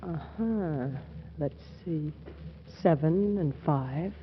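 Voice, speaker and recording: An actress playing a needling, suspicious new bride, coaxing and wry, in 1940s radio comedy style. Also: female voice, sits low, extremely slow. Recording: echoey, dull, faintly noisy radio recording.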